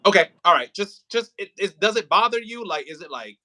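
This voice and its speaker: Bright-voiced man chatting casually. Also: high voice, expressive, brisk. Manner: informal, slangy. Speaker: audio play writer and rehearsal host. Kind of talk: casual rehearsal chat.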